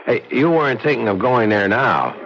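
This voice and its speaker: An American actor playing a scruffy, streetwise bartender in a 1970s radio drama, flippant and sardonic but helpful. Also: male voice, medium pitch, animated, normal speed, a bit bright. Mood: wry, protective. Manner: sardonic, flippant, mock-poetic. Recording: dull 1970s radio broadcast, music behind.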